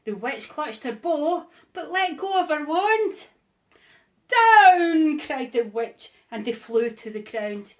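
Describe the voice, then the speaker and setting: A woman reading a rhyming picture book aloud in a rhythmic, expressive way. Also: sits high, a little slow, hardly any pauses. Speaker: storybook reader.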